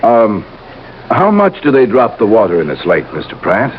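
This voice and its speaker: A nervous, guilty killer who stammers and then turns cold, his pitch swinging widely in a low voice. The sound comes through a dull old radio broadcast with faint hiss. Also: huge pitch swings. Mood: uneasy. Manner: hesitant, halting, then cold. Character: nervous guilty male murderer turning menacing. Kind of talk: 1950s radio drama acting.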